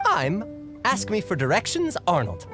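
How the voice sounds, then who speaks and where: A flustered male raven from a video game, with pitch that lurches wildly up and down. He stammers, fawns and flirts in overblown courtly language over background music. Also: huge pitch swings, unhurried, exaggerated voice. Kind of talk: theatrical video game character acting.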